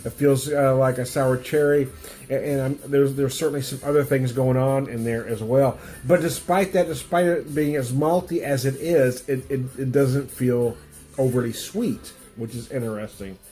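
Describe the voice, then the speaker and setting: A man's voice: medium pitch, steady tone, normal speed, warm tone, faint music behind. Stuffy-nosed man rambling through a beer review. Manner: rambling, self-interrupting, chuckles often. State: stuffy nose from a cold. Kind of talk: casual beer tasting review. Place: home room with music playing.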